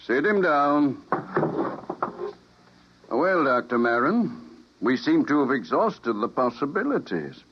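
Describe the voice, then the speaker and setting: An actor playing a calmly menacing male official in a 1950s radio drama, with a husky voice. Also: medium pitch, slow, pauses often, exaggerated voice. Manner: smooth, condescending. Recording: dull vintage radio broadcast.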